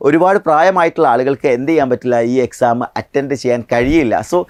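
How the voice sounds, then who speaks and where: Higher-pitched Malayali man teaching. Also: dry recording, clean studio sound. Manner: instructional, addresses viewers with rhetorical questions. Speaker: exam coaching instructor and channel presenter. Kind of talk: explanatory video lesson on an exam.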